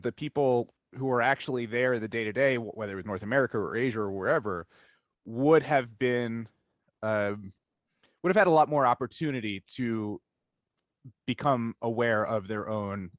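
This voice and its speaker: A man's voice: fairly low pitch, dry recording. Man thinking aloud as interview host, dry sound. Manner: halting, thinking aloud, rambling. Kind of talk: casual discussion interview.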